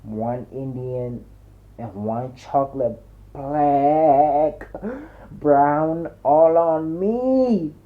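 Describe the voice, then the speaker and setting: A man's voice: fairly high pitch, very slow. A man voicing a brash, boastful male friend in a slangy, blunt audiobook dialogue. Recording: muffled, narrow phone-like sound.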